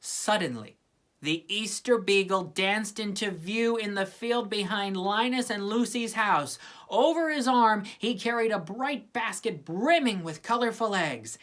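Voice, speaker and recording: A woman's voice: medium pitch, a bit bright. A woman reading a children's story aloud with plenty of expression. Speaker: storybook reader.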